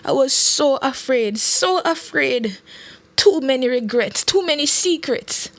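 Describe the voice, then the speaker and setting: A woman's voice: a little high, lively intonation, measured pace, no echo. Woman voicing an anguished, desperate minister. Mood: anguished, afraid. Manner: sobbing, desperate pleading. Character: anguished woman government minister confessing a secret.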